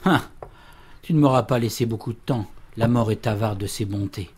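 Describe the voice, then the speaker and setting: An actor playing an older grieving father, gruff, reproachful and defiant in an audio drama. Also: on the low side, moderate pace, darkish tone, slight background noise, lightly acted. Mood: bitter.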